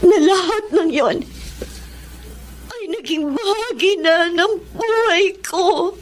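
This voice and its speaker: An actress playing a long-suffering, bitterly resolute wife in Filipino radio melodrama, with slow, emotional delivery. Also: female voice, very high-pitched, lively intonation, full character voice. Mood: tearful resolve.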